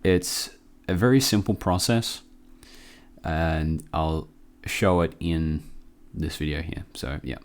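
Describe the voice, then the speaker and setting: A man with a low voice walks through a software tutorial casually. He sounds a little under the weather and talks in an offhand, halting way, close to the mic. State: sick with a cough. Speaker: tutorial presenter. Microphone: close microphone.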